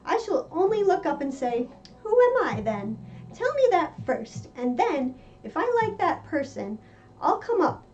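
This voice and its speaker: A woman's voice: sits high, very animated, measured pace, darkish tone, a little hiss or hum, pitched above the performer's own voice. A woman voicing an anxious, bewildered little storybook girl in a dramatic, exclaiming manner. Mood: stubborn. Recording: muffled lo-fi with music behind.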